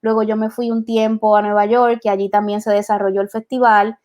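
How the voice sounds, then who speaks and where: A woman, an artist answering interview questions in a thoughtful way, with many pauses and restarts. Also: mid-range voice, little pitch movement, darkish tone. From Puerto Rico. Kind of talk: reflective conversation.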